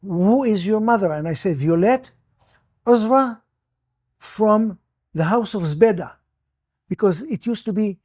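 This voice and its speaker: An older man born in Iraq, whose first language is Judeo-Arabic, recalling his childhood as an interview guest in halting speech full of restarts. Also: high voice, lively intonation, darkish tone. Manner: halting, many restarts and repeated words. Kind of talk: personal recollection.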